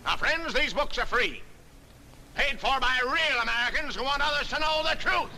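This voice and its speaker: Brief: a fiery soapbox agitator, high piercing voice swinging wildly, 1940s film. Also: male voice, lively intonation, moderate pace, full character voice, poor audio. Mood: ranting. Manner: shouting, fervent, rabble-rousing. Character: ranting male street demagogue, fiery.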